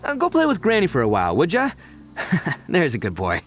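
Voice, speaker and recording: An actor playing a brash, big-hearted young gang leader in a video game, boisterous and colloquial with boyish bravado. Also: male voice, fairly high pitch, wildly swooping pitch, fairly quick, background noise. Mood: reassuring, breezy. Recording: faint game music behind.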